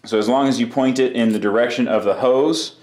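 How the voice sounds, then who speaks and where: A man's voice: medium pitch, brisk, a little mellow, echoey room. A man explaining a bike repair step by step in a casual, practical way, in his workshop. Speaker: bike mechanic. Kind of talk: hands-on repair tutorial.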